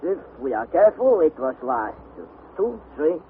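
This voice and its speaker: An actor playing a steady, careful expedition guide with halting, deliberate speech in a 1960s radio drama. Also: male voice, on the high side. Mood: careful, matter-of-fact. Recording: tinny, noisy vintage radio recording.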